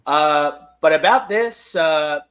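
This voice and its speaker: A man hosting a casual fan discussion, speaking in halting stretches with emphatic bursts. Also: fairly high pitch, a bit bright, smooth. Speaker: podcast host reviewing a fan audio drama.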